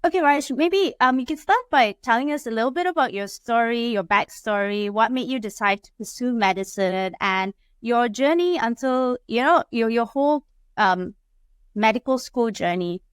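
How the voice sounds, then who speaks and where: A woman's voice: a little high, normal speed, smooth, dry recording. A woman hosts a podcast, reading a scripted intro and then asking chatty questions with fillers. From Malaysia. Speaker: podcast host. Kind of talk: podcast interview.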